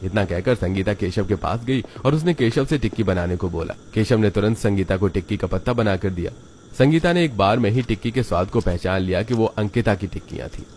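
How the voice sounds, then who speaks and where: A man's voice: lowish voice, a little fast, a little mellow, slight background noise. A man narrating a children's cartoon story from a script.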